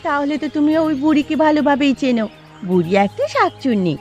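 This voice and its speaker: A woman's voice: high-pitched, animated, fast talker, a little mellow, dull audio, soft background music. A woman voicing a sly, greedy village neighbour wife in a Bengali cartoon. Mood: scheming, eager.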